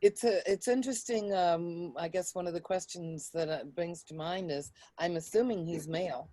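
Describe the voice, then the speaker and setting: A woman's voice: lowish voice, normal speed, warm tone, dry recording. A woman leading a casual online group discussion, often thinking aloud and repeating her phrases. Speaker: discussion leader of a poetry group. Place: online video call.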